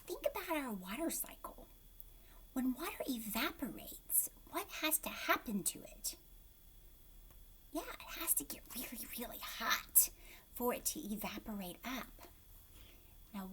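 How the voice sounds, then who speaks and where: A woman teacher reading aloud to young children, expressive, putting questions to the listener and often dropping into a hushed voice. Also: fairly high pitch, a little hiss or hum. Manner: expressive, asks questions and pauses as if answered.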